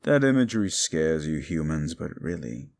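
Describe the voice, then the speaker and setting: A man voicing a bitter, ancient fallen demon who speaks scornfully to a listener in an audio drama, dropping to hushes and rising to shouts. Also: a bass voice, sing-song, normal speed, vocal fry, full character voice. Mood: somber. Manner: contemptuous, swings from hushed to shouting.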